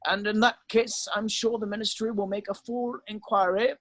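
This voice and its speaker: Wise old wizard advocate read by a man, notably high voice, dry close sound, polite and measured. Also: steady tone, a bit bright, no room sound. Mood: pointed. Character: wise, calm, courteous old wizard headmaster defending the accused. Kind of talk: amateur online table read of a screenplay.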